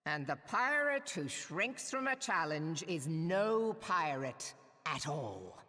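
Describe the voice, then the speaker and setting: A woman's voice: sits low, sing-song, slow pace, a bit bright, exaggerated voice. A woman playing a bold, brash pirate admiral in a video game cutscene, commanding and defiant, with salty seafaring speech. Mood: brash, wry. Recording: long echoing hall reverb.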